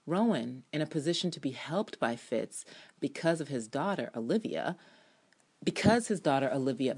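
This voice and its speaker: A woman giving an expressive, theatrical reading of her own written TV review, with playful ad-libbed asides. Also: rumbling low, husky. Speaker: podcast reviewer and TV fan.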